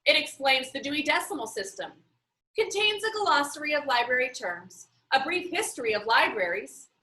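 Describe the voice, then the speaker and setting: A woman reading the part of an eager, excitable, slangy boy in a children's storybook. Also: high-pitched, normal speed, exaggerated voice. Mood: explaining. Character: eager, enthusiastic boy on a game team. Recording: roomy, echoey sound.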